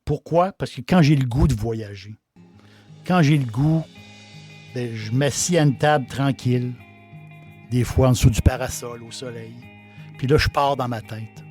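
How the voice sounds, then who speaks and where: A man's voice: mid-range voice, a little slow, long pauses, a little mellow, faint music behind. Folksy Québécois innkeeper, man. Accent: Québécois French. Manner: folksy, repeats words and phrases. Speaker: innkeeper host. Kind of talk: casual talk about a liqueur.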